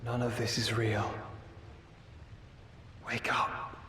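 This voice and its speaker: Echoing, pleading brother. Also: male voice, medium pitch, level tone, slow, pushed and bright. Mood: urgent pleading. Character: earnest younger brother, pleading and desperate. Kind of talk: dramatic video game cutscene acting. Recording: echoing hall reverb, faint ambient noise.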